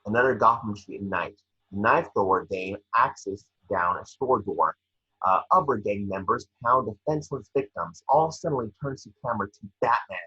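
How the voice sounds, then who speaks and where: A man reading scene descriptions at an amateur table read, with a husky voice. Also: lowish voice, lively intonation, moderate pace, bright, forceful tone, lo-fi sound.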